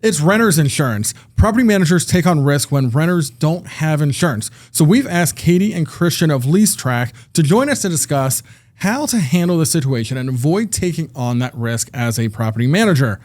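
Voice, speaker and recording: A man's voice: a little high, lively intonation, fairly quick, a little mellow. A man hosting a podcast interview in a studio, talking in a friendly, conversational way with some fillers. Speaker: podcast host. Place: recording studio.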